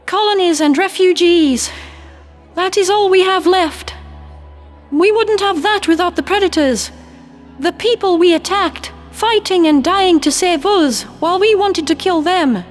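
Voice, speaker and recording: A man's voice: extremely high pitch, unhurried, faint music behind, pitched above the performer's own voice. A man voicing a blunt, solemn female alien leader giving a formal speech in a sci-fi audio story. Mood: solemn, resolute.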